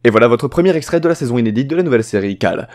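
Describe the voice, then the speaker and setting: Fast-talking mock-formal male news host. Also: mid-range voice, animated, noisy background. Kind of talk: scripted parody news narration.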